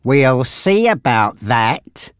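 A narrator voicing a menacing, taunting male gangster boss in an audiobook story, with a threatening, drawn-out delivery. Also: mid-range voice, sing-song, clear, resonant tone, no echo, clean studio sound. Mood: taunting menace.

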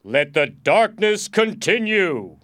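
A man voicing a desperate but bold condemned man in a storybook, loudly and dramatically. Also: sits high, big pitch swings, takes it slowly, pitched above the performer's own voice, exaggerated voice. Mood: commanding bluff. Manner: loud, dramatic, exclaiming. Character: desperate, quick-thinking man facing execution, bluffing boldly.

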